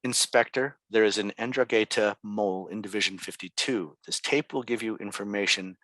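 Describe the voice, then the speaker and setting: Dry-recorded, lowish-voiced man reading directions. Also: normal speed, fairly bright tone, no echo, low-quality recording. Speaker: stage directions reader. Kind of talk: scripted narration at a table read.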